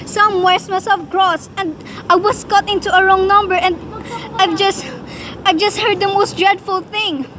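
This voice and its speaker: A woman's voice: extremely high pitch, fairly even intonation, measured pace, crowd noise. A woman acting a nervous, frail and demanding wife in a student radio play, fretful and insistent. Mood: horrified.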